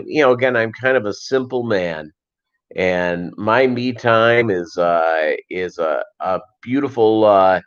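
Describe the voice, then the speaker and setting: A man's voice: lowish voice, fairly bright tone. Middle-aged man rambling on a lo-fi stream. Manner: rambling, halting, repeats phrases. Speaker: livestream host. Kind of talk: casual livestream chat. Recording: dull lo-fi livestream audio.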